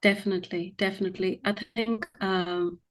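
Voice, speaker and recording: A woman's voice: mid-range voice, level tone, a little fast. A middle-aged woman from India with an accent that blends Indian, Irish and Australian sounds, answering interview questions thoughtfully with some hesitation. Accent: mixed Indian, Irish and Australian. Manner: thoughtful, hesitant, with soft fillers. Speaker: interview guest.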